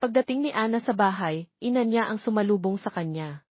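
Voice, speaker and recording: A female audiobook narrator reads scripted romance. Her voice is mid-pitched with a slightly husky texture. The recording is close and dry but a little dull. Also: lively intonation, fairly quick, dry recording.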